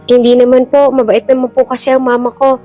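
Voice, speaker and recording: A woman's voice: high-pitched, flat delivery, brisk, mellow, pitched above the performer's own voice, natural voice. A woman with a Filipino accent voicing an innocent, polite eight-year-old child in a storybook-style drama. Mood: meek, hopeful. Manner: meek, respectful. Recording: music bed behind the voice.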